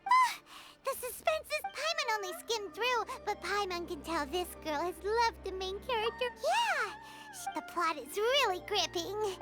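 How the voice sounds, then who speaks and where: Very high, chirpy little fairy companion. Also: female voice, sing-song, measured pace, exaggerated voice. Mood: upbeat. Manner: refers to self in third person, chatty. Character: tiny childlike floating fairy companion, chirpy and talkative. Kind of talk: video game voice acting. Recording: loud music behind the voice. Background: game music.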